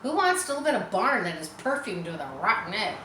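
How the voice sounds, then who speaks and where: A woman's voice: mid-range voice, very animated, brisk, natural voice. A woman voicing a fussy, indignant young lamb who protests in a storybook reading. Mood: indignant, complaining. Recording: roomy echo, faint background noise.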